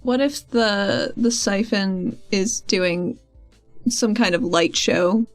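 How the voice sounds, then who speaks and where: A woman's voice: mid-range voice, slow pace. A woman playing a tabletop game, chiming in with casual suggestions and comments. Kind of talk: casual chat. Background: music.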